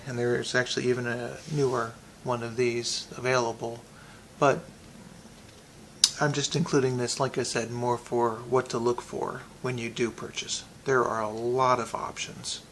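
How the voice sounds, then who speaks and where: A man giving a casual, unscripted product review, thinking aloud in a halting way with pauses. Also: mid-range voice, steady tone, a bit bright, slight background noise, low-quality recording.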